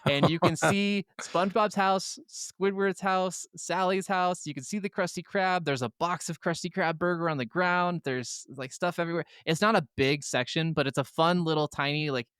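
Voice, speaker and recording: A man's voice: on the high side, steady tone, moderate pace, few pauses, fairly bright tone, clean tone, no room sound, clean studio sound. A man co-hosting a podcast, chatting casually about video games in an enthusiastic, freely swearing way. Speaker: podcast co-host.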